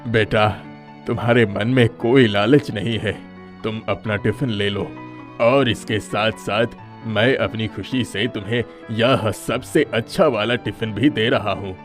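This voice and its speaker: Guy doing old beggar holy man for a kids cartoon, kindly n solemn, low voice, soft music behind. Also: steady tone, unhurried, natural voice. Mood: benevolent, solemn. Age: older. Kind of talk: Hindi moral story cartoon acting.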